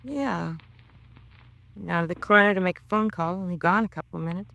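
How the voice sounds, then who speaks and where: Bitter, gravelly-edged grieving mother. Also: lowish voice, brisk, a bit bright, no echo, low-quality recording. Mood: defensive. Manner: slangy working-class speech, brooding repetition. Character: bitter drunken grieving mother, defensive and self-blaming. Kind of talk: 1950s radio crime drama acting.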